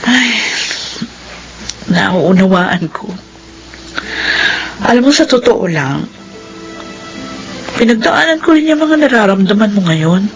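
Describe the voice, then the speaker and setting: A woman playing an understanding older sibling in a Filipino radio drama, speaking in gentle, deliberate phrases. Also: mid-range voice, animated, soft background music, rough recording. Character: understanding older sibling, survivor of a cruel father.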